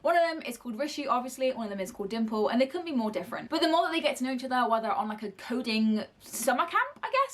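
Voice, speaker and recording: A woman's voice: a little high, fairly bright tone. A young woman in her early twenties reviewing books in a casual, gushing way and swearing freely. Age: early 20s. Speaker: book reviewer. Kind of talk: casual book review to camera.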